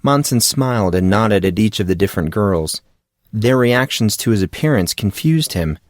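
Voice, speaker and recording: A man narrating a fantasy audiobook in a steady storytelling delivery. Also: lowish voice, normal speed, dry recording, studio quality. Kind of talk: audiobook reading.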